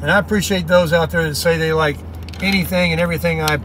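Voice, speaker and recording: A man's voice: fairly high pitch, steady tone, fast, fairly bright tone, rough recording. Chatty man talking while driving. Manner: chatty, folksy, upbeat. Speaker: vlogger. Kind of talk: casual rambling talk while driving. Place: inside a moving car. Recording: faint road noise in car. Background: road and car noise.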